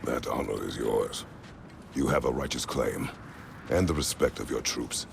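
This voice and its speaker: Grim old war god, super deep gravelly growl, short blunt lines, game audio with noise under it. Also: male voice, unhurried, full character voice. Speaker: video game character.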